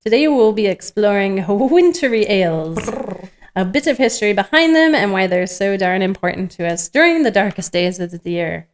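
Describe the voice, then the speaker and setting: A woman's voice: mid-range voice, lively intonation, moderate pace, slight background noise. A woman co-hosting a podcast in an informal, joking way, reading from a script and chatting. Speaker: podcast co-host. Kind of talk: casual table read with banter.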